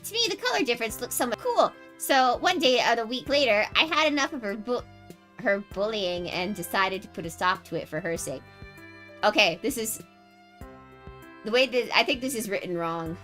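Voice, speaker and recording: Bright-voiced animated woman livestreamer. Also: a little high, a little fast, faint music behind. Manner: animated, reactive, jokey exclamations. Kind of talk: reading game narration aloud with casual commentary.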